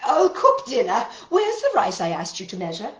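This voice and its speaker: Woman as a loud, flustered storybook lady of the house. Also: a little high, huge pitch swings. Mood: demanding. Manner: loud, exclamatory, drawn-out words. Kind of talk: children's storybook character voice. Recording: roomy echo.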